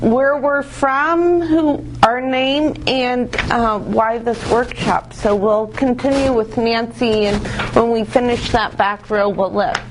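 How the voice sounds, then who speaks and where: A woman's voice: fairly high pitch, fairly even intonation, takes it slowly, hardly any pauses, background noise. A woman leading a workshop, encouraging the participants as they introduce themselves. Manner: encouraging, with frequent affirmations. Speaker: workshop leader. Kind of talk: moderating introductions.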